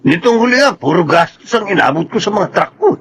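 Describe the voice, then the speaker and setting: A man's voice: sits high, lively intonation, fast talker, fairly bright tone, a little hiss or hum. A man with a Filipino accent playing a troubled, irritable trucking boss in a radio drama. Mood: worried, grumbling. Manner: complaining, exasperated. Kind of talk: Tagalog radio drama acting.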